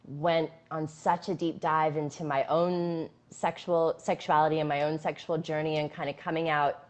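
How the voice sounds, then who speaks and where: A young adult woman with a low-pitched voice speaks in a loose, flowing way. She talks about her coaching work with lots of fillers and restarts, sounding effusive. She is recorded on a phone during a live video chat. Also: flat delivery, moderate pace. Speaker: intimacy coach guest. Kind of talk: casual live-stream conversation. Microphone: phone on a stand.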